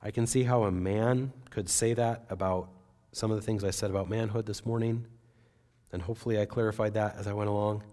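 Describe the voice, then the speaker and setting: A man in his forties, a pastor, preaching an earnest, measured sermon from a pulpit on a church stage. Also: fairly low pitch, steady tone, mellow.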